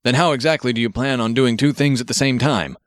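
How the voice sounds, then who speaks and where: A man's voice: medium pitch, fast talker, no room sound, clean studio sound, lightly acted. A man voicing a rotund, worried, skeptical townsman who talks in a practical, doubtful way in an audiobook. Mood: exasperated.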